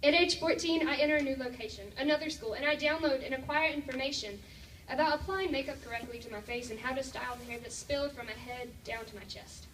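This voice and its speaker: A teenage young woman delivering dramatic spoken-word poetry in an emphatic, deliberate, theatrical way. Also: high-pitched, a bit bright. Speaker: slam poet. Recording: distant, echoey room with faint noise.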